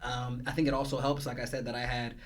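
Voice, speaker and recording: A man guesting on a casual podcast, telling stories in a lively, slang-heavy way. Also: mid-range voice, fast talker. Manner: animated storyteller, heavy slang.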